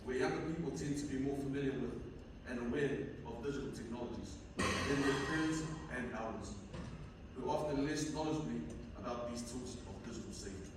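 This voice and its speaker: A young man with a Fijian accent presents research at a conference, stumbling here and there as he reads. His voice sits at a medium pitch. He is heard from far off in a heavily reverberant hall with clear background noise. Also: fairly quick. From Fiji. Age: young adult. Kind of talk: conference presentation, partly read from notes. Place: indoor conference room at a university. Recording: echoey hall, distant mic, noisy room.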